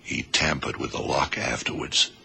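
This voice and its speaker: Very deep, gravelly investigator. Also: male voice, steady tone, bright, forceful tone, heavily acted. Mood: methodical, probing. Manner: deliberate questioning with murmured hums. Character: shrewd, methodical male FBI investigator leading a case. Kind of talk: radio drama acting. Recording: 1970s radio drama, faint noise.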